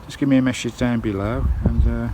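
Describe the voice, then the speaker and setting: A man's voice: medium pitch, fairly even intonation, a little fast. A British man narrating a casual hands-on vlog outdoors, chatting informally to his viewers. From United Kingdom. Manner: chatty, informal, often addressing viewers as guys. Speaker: vlogger. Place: outdoors on and around a campervan. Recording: windy handheld recording with loud background noise. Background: wind and loud noise.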